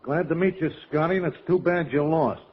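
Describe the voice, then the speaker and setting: An actor playing a brash, crooked gangster scorekeeper who talks in slangy, mangled tough talk in a vintage radio comedy. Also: male voice, on the high side, brisk, close to an everyday voice. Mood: brash, sly. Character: crooked gangster scorekeeper, wisecracking, cheerfully menacing man. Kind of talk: 1940s-50s radio comedy acting. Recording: dull vintage radio, audience, heavy noise.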